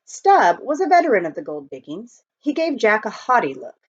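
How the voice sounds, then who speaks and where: A woman reading a children's novel aloud in an expressive storytelling style. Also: mid-range voice, normal speed, slightly rough. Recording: dull lo-fi home recording.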